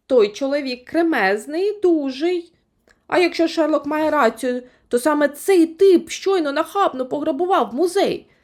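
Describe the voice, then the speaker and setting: Lively woman storyteller. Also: high-pitched, normal speed. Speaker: storyteller for children. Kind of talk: expressive scripted audiobook narration.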